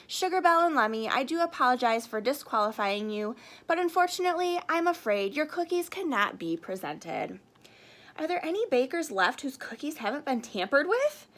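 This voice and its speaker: A woman's voice: sits high, big pitch swings, moderate pace, a bit bright. A woman voicing a gracious, apologetic good queen in a storybook, formal and kindly.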